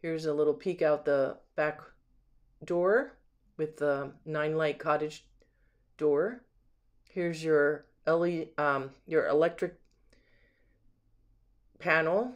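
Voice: medium pitch, fairly even intonation, slow pace, pauses often. A home sales agent giving a casual, unscripted walkthrough narration, admiring and describing things item by item, recorded on a handheld camera inside an empty house. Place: indoors, rooms of an empty house.

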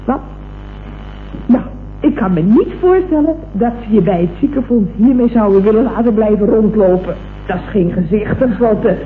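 A woman's voice: mid-range voice, expressive, moderate pace, soft background music, lightly acted. An actress playing a chatty, tactlessly blunt female office employee in a vintage Dutch radio comedy. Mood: chatty, gushing. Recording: muffled, noisy vintage radio recording.

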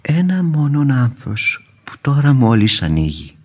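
An actor playing a gentle older uncle who adores roses, speaking poetically and with wonder in radio theatre. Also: male voice, mid-range voice, sing-song, breathy edge. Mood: rapt, lyrical. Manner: wonder-struck, poetic, emphatic. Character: gentle older uncle, rapt rose-loving amateur gardener. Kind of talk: radio theatre acting, lyrical recitation. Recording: radio drama with guitar music behind.

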